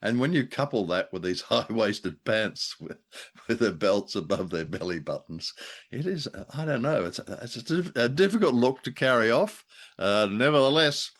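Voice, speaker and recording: A man's voice: medium pitch, very animated, normal speed. A man co-hosting a casual review chat, talking in a wry, jokey way with wordy asides. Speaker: podcast co-host. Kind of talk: casual review chat about an old radio play.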